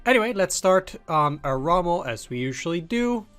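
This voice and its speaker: A man's voice: high voice, big pitch swings, moderate pace, fairly bright tone, smooth, soft background music. A man with a cold hosting a let's play, talking loosely and thinking aloud as he plays. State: has a cold. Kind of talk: casual gameplay commentary.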